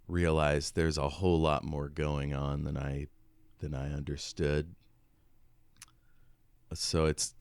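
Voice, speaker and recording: A man with an extremely low, resonant voice talks casually as a podcast co-host. The sound is close and dry, and his phrasing is reflective, feeling its way through each thought. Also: smooth, no room sound. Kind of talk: casual book discussion.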